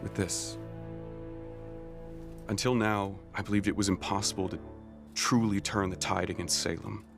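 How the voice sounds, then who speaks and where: An actor playing a stern, weary middle-aged military leader in an animated series, measured and formal. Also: male voice, on the low side, vocal fry. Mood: earnest, grave. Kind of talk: animated series drama acting. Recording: dramatic music under the voice.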